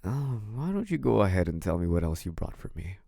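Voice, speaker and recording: A man's voice: sits low, sing-song, a little fast, creaky, mellow, dry recording, clean studio sound, full character voice. A man playing a young mafia heir in an audio roleplay, a cunning schemer who wears politeness as a mask. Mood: polite, probing. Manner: hesitant and apologetic act, turning smug and taunting. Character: young mafia heir, feigned naive politeness hiding cunning, possessive menace. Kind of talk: dramatic first-person audio roleplay.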